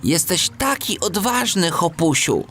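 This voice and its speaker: A man voicing a small, kind, anxious clay toy that exclaims in a childlike, excitable way in a children's storybook. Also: a little high, a little slow, slight background noise, voice pushed up. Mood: admiring.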